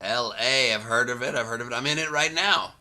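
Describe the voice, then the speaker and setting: A man's voice: mid-range voice, brisk, pushed and bright. A man hosting a comedy show, bantering with guests in a casual joking way and laughing often. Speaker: comedy podcast host.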